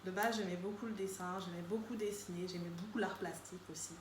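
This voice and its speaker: A woman's voice: on the low side, fairly even intonation, fast talker. A woman in her twenties answering interview questions casually as a guest makeup artist. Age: 20s. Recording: echoey room with faint background noise.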